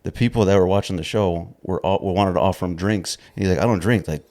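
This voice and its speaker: A man's voice: deep voice, quick pace, a little mellow. A man hosting a podcast, laid-back and casual, swearing often and calling his guest bro and dude. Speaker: podcast host.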